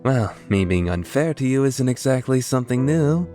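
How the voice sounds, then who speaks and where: A man playing an aloof, awkward young man with hidden kindness in a dubbed visual novel, offhand and awkwardly gentle. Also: mid-range voice, lively intonation, moderate pace, soft background music, lightly acted. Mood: gently teasing.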